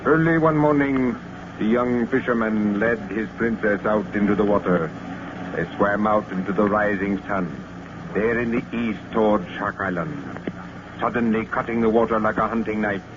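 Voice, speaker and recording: A man's voice: fairly low pitch, fairly even intonation, natural voice. An actor playing a solemn, ominous West Indian man telling island legends in grave, formal words, in old radio drama style. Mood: solemn storytelling. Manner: slow-building, grave storytelling with formal wording. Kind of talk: 1940s radio drama acting. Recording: noisy, muffled old radio recording.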